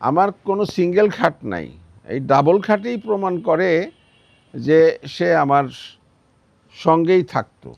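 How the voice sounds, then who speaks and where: বয়স্ক বাংলাদেশি পুরুষ, একটু উঁচু খসখসে গলা. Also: male voice, on the high side, lively intonation, measured pace, creaky, pauses often, a little mellow, dry recording. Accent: Bangladeshi. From Bangladesh. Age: older. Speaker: interviewee, former armed fighter. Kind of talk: conversational personal reminiscence. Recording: dull, slightly muffled sound.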